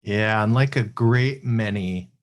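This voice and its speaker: A middle-aged man hosting a podcast over a video call, asking steady, friendly questions. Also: lowish voice, slow pace. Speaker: podcast host. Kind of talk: conversational interview. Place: home office.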